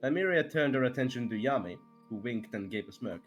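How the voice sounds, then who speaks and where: Animated man narrating over music. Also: mid-range voice, a little fast. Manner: stumbling over words. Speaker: reader of fan fiction on a livestream. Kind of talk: amateur dramatic story narration.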